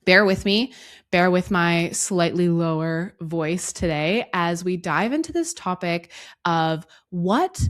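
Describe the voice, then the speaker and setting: A woman in her thirties hosting a solo podcast, her voice hoarse and raspy from a cough, talking earnestly and reflectively to the listener. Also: on the low side. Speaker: podcast host.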